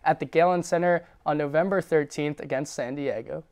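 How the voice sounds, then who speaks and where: A man's voice: fairly high pitch, a little fast, a little mellow, clean tone, no echo. A young man hosting a student sports show, putting polished questions to a studio guest. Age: young adult. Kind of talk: studio interview.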